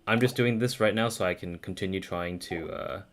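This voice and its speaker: A man's voice: low voice, brisk, a little hiss or hum. A man chatting casually on a game stream in a slangy, rambling, profane way. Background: faint game music.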